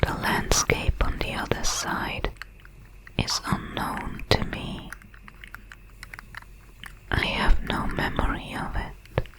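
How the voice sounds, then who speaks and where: A woman voicing a curious, lonely alien creature in an ASMR audio drama, in a breathy whisper with solemn, deliberate phrasing. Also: natural voice, low-quality recording. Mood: lonely, subdued.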